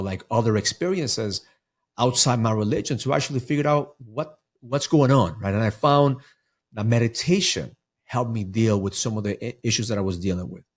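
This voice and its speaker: A middle-aged man from Ecuador, a mindset coach talking earnestly as a podcast guest, often repeating words as he restarts. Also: on the low side. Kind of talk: podcast interview.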